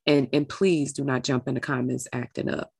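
A woman with a low voice and a noticeable creak hosts a candid conversation. She talks in a familiar, affectionate, heartfelt way, and the sound is close and dry. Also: steady tone, normal speed, a little mellow, no room sound. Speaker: interview show host.

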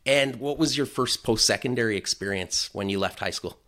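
A man's voice: mid-range voice, moderate pace, a bit bright. A man hosting an interview, putting conversational questions to his guest. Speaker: interviewer, host.

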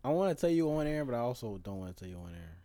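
A man's voice: medium pitch, big pitch swings, very fast, dark tone, dry recording. A young man telling stories and joking animatedly as a podcast co-host. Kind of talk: casual storytelling banter.

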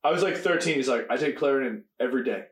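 Young man in quick, swearing chat. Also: medium pitch. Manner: swears freely, quick animated storytelling. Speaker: podcast co-host. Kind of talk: casual chat. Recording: echoey room.

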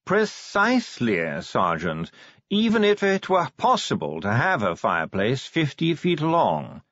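Man putting on a pompous gentleman official, swooping pitch. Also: wildly swooping pitch, unhurried, no room sound, lo-fi sound, full character voice. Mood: prompt, assured. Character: pompous, proud upper-class gentleman museum official.

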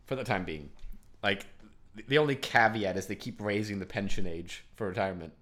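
Chill young danish-raised guy, lowish voice, swears casually, relaxed chat. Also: fairly quick. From Denmark. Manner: laid-back, swears casually, stammers on starts. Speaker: guest.

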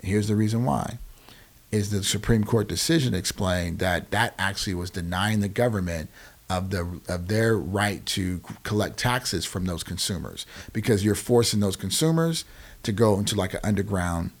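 Low-voiced American man in his forties, emphatic. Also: moderate pace. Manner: emphatic, often checks in with "right?". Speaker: podcast guest, nonprofit founder. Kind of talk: conversational explaining in an interview.

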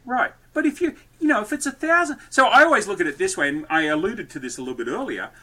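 A man guesting on a podcast, telling stories and dad jokes loudly in a rambling, lively way. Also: sits high, fast. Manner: loud, joke-telling, rambling. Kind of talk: lively conversational storytelling.

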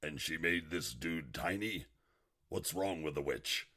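An actor playing a gruff, hot-tempered, beer-loving retired team member in an audio drama, blunt and grumbling. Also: male voice, very deep voice, measured pace, bright, forceful tone, exaggerated voice. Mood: grumbling, wry.

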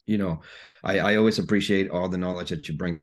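Deep-voiced man from Guatemala, high school soccer coach guest, relaxed, repetitive. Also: quick pace. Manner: warm-hearted, repeats words, many "you know"s. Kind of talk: casual interview chat.

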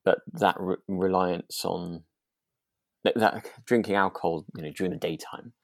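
A man with a deep voice chats casually as a podcast co-host. He is agreeable and somewhat tentative, halting as he finds his words, in a very dry recording. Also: fairly even intonation, no echo. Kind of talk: casual chat.

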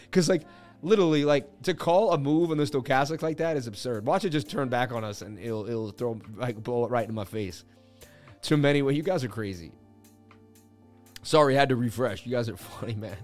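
Host: man, going hoarse, boastful, excitable trading streamer, faint music bed behind. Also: medium pitch, moderate pace. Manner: hyped, boastful, laughing banter with chat. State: losing his voice. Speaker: crypto livestream host. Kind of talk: casual livestream market commentary.

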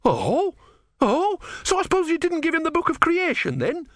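Man putting on an earnest, high-pitched indignant believer. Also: very animated, normal speed, fairly bright tone, no echo, clean studio sound. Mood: shocked, angry. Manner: insistent, indignant, pious. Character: earnest devout young male believer, easily outraged. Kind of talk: dramatised storybook reading.

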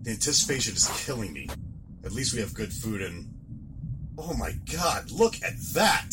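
An actor playing an excitable, wonderstruck male traveler in an audio drama, with an eager, gushing manner. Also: lowish voice, moderate pace, background noise. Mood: impatient. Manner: exclamatory, gushing.

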